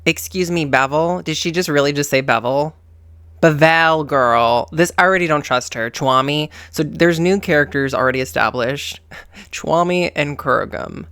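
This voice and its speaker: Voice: medium pitch, moderate pace, fairly bright tone, clean tone, no echo. A host giving casual reaction commentary in a campy, sassy way, with slangy exclamations and repetition for emphasis. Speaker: host of a gaming reaction channel.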